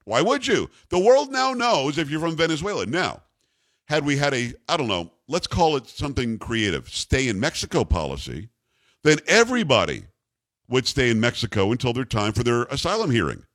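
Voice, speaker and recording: A man's voice: medium pitch, huge pitch swings, moderate pace, a bit bright, dry recording. An American man hosting political talk radio, giving opinionated commentary in an emphatic, incredulous and sarcastic manner. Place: radio studio.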